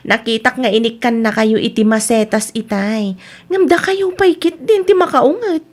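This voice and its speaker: A woman's voice: on the high side, moderate pace, a little mellow, natural voice. A woman playing a grown daughter who reasons with her mother in a comedy radio drama. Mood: mild, reasoning.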